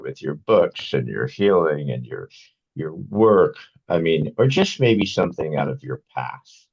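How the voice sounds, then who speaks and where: A man's voice: rumbling low, gravelly, darkish tone, breathy edge. A man hosting a podcast in casual, folksy, jokey interview chat, on a slightly muffled recording. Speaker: podcast host.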